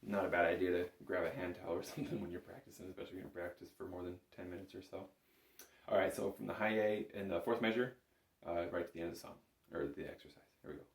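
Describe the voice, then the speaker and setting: Man, fairly deep voice, instructor, casual tutorial delivery, reassuring, strong room echo. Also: steady tone, quick pace, vocal fry. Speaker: bagpipe instructor. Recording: echoey room.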